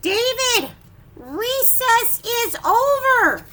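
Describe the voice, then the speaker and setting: A woman voicing a stern, exasperated schoolteacher from a children's picture book, giving emphatic, drawn-out commands. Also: very high-pitched, sing-song, bright, forceful tone, slight background noise, pitched above the performer's own voice, heavily acted. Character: stern, exasperated female schoolteacher scolding a small boy. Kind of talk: picture-book read-aloud for preschoolers.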